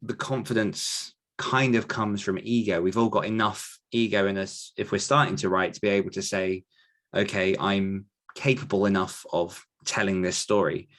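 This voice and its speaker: A man's voice: lowish voice, steady tone, normal speed, a bit bright. A man, talking casually and thoughtfully as an author and podcast host answering questions.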